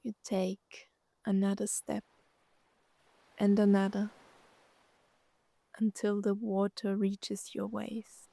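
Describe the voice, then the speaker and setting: A woman narrating a scripted guided meditation, gently soothing, speaking in short phrases with long pauses. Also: mid-range voice, monotone, vocal fry, no echo.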